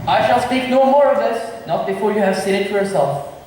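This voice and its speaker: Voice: medium pitch, lively intonation, brisk, a little mellow, close to an everyday voice. A student actor performing simplified Shakespeare on stage in an amateur drama club play, delivering lines in an everyday voice. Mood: scheming, earnest. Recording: echoey hall from far off, faint noise.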